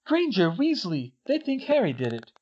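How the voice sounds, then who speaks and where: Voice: mid-range voice, wildly swooping pitch, moderate pace, a little mellow, muffled recording, voice pushed up. A reader voicing a frantic teenage girl student urgently bringing bad news. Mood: alarmed.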